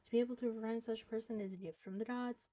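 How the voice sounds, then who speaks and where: A woman's voice: a little high, steady tone, very fast, dark tone, muffled recording. A woman live streaming a game, reading dialogue aloud and reacting, sometimes stumbling over the words.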